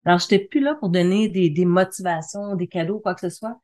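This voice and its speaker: Woman, middle-aged, Quebec French accent, slightly low pitch, explanatory interview talk, consultant and trainer. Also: fairly quick, a little mellow. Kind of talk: conversational interview, explaining her career.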